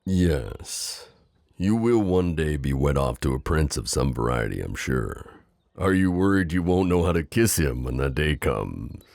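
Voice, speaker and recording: Possessive knight and mentor, acted by a man: courtly, gently hesitant, gravelly, recorded very dry and close. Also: extremely low pitch, lively intonation, unhurried, dry recording, heavily acted. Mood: tender, teasing. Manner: formal, courtly, tender, hesitant. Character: protective, possessive gallant knight, mentor to a princess. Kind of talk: intimate roleplay audio acting.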